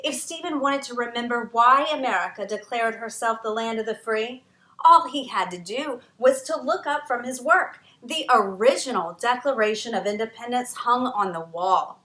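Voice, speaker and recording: A woman author reading her own children's history book aloud in a dramatic, expressive storytelling manner. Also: on the high side, roomy sound. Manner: dramatic, varied storytelling delivery with emphatic pauses.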